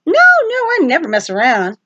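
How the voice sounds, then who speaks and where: A woman's voice: high-pitched, huge pitch swings, moderate pace, pushed and bright, no echo, dull audio, pitched above the performer's own voice, full character voice. Woman voicing a breezy, cocky teenage sun god. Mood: playful, boastful.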